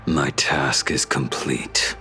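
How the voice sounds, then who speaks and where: A man's voice: very deep voice, steady tone, full character voice. A man playing a grim, cold swordsman with a grudge in a dramatic video game, speaking in a terse, menacing way. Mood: grim, flat. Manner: slow, menacing, terse delivery. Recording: voice under loud cinematic music.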